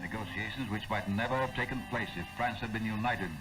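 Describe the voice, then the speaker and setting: A man's voice: lowish voice, brisk, music in the background, poor audio. An American man narrating a 1940s wartime propaganda film in a solemn, emphatic, declamatory way, on a vintage film soundtrack.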